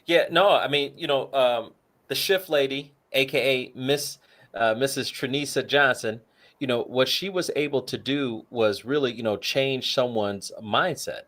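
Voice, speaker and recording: A man's voice: medium pitch, unhurried, fairly bright tone. A man co-hosting a talk show, casually walking through retirement numbers with plenty of "you know" fillers. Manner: works through figures aloud, many fillers. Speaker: co-host.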